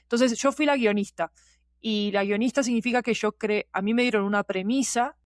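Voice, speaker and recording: A woman's voice: fairly high pitch, steady tone, brisk, no echo, clean studio sound. A woman giving talkative, digressive interview answers as a podcast guest, with emphatic repetition. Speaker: podcast guest, scriptwriter and producer.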